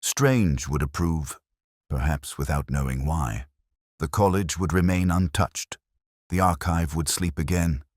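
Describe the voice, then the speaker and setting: A man playing a melancholy, reflective police inspector, narrating an audio drama in slow, measured, solemn literary prose with deliberate pauses. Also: rumbling low, darkish tone. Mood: resigned. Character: melancholy, reflective male police detective inspector telling his story.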